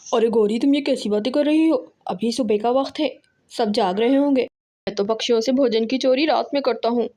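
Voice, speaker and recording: A thieving male crow from a children's cartoon, voiced by a woman. He has a high voice and talks like a henpecked schemer, always placating and plotting. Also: fairly quick, warm tone, lightly acted. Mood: wary.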